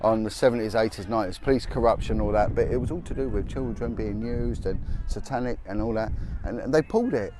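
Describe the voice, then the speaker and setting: A man's voice: lowish voice, fast, few pauses. A middle-aged man, hosting a live podcast outdoors in a city park, talking bluntly and with passion and repeating himself as he gets fired up. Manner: blunt, impassioned, repeats words when fired up. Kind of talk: outdoor live-stream chat with passers-by.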